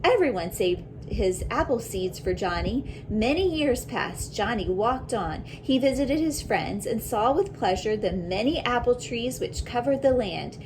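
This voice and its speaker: A woman with a fairly high voice reads a children's story aloud at a very slow pace. She is deliberate and breaks off for reflective questions, as a teacher would. Clear background noise runs through the recording. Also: expressive, a little mellow, rough recording. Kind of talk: children's picture book read-aloud with asides.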